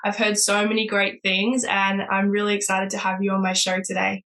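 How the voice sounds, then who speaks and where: A woman's voice: mid-range voice, monotone, normal speed, fairly bright tone. A woman hosting a podcast, upbeat and enthusiastic, with frequent fillers like "like" and "so". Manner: bubbly, enthusiastic, heavy use of "like" and "so". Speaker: podcast host.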